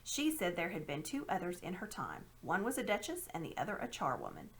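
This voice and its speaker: A woman's voice: on the low side, wildly swooping pitch, a little fast. Woman putting on a pompous, self-important old uncle. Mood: grand, boastful. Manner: pompous, condescending, grandiose. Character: smug, self-important old man, a scheming magician uncle. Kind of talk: storybook read-aloud character voice.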